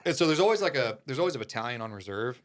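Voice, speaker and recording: A man with an average-pitched voice talks as a guest in a relaxed conversation. He tells military stories informally, using plenty of slang. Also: rapid-fire. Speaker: podcast guest, Marine Corps veteran. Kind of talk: casual chat telling military stories.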